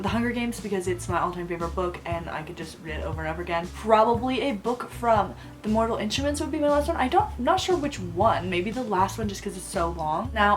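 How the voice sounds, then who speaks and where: A young woman hosting a casual book vlog Q&A from her bedroom, her voice a little rough from a coming cold, gushing excitedly about her favorites. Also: medium pitch. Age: young adult. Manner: gushing, excitable fangirl chatter. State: voice a bit rough from getting sick. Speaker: book vlogger. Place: bedroom with bookshelves, indoors.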